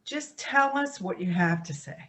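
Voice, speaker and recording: Actress doing a coaxing peacemaker lady in a family stage drama, tentative, very lively up n down voice. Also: medium pitch, normal speed, close to an everyday voice. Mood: placating, concerned. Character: conciliatory, gently meddling woman, family peacemaker. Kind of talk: staged play reading.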